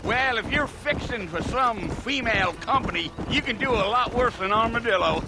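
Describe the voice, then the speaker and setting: A man's voice: very high voice, animated, normal speed, noisy background. A man playing a chatty, folksy cowboy guide in a Western video game, with an American Southern drawl and an easygoing, talkative manner. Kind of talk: video game character dialogue. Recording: game audio with music behind. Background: music, horses' hooves.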